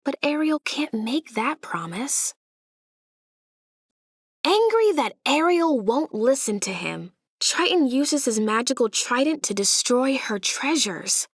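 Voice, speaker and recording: Unhurried woman reading a children's storybook. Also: high-pitched, very animated, dry recording, studio quality. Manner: deliberate, dramatic storytelling emphasis. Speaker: storybook narrator. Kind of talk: expressive read-aloud children's storytelling.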